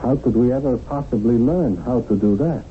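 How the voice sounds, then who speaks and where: An actor playing an earnest, idealistic young revolutionary in a radio drama, questioning everything with intensity. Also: male voice, moderate pace, close to an everyday voice. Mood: bewildered. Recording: tinny narrow-band old radio, hissy rumble.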